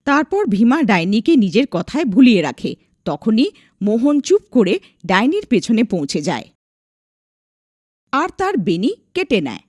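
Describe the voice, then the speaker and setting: Lively woman narrating a folk tale. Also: a little high, normal speed, long pauses, dry recording, studio quality. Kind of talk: scripted storytelling narration.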